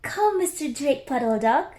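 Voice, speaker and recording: Lady as eager little girl kitten in a storybook, bit bossy, high lively voice, echoey. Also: a little slow. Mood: coaxing. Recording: roomy echo.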